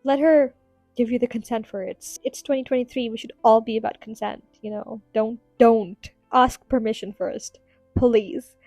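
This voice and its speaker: Casting: higher-voiced woman, rambling podcast host, giggly, voice tiring, dry close mic. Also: steady tone, moderate pace, darkish tone, no echo, faint music behind. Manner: chatty, giggly, rambling with fillers. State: tired, strained voice. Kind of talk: casual solo podcast ramble.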